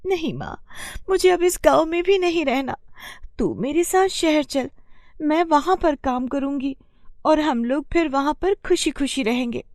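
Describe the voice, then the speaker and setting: A woman's voice: high-pitched, expressive, a little slow, a little mellow, dry recording, clean studio sound. A woman voicing an earnest, plaintive young village daughter from a poor family in a moral story. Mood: hurt, indignant. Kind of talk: acted dialogue for moral story.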